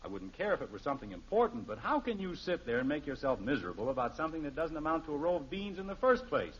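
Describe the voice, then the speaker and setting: A man's voice: on the high side, sing-song, fast talker. An actor playing an exasperated, blustering middle-aged American father in a 1950s radio sitcom, speaking emphatically. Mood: reproving. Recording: dull, noisy old radio recording.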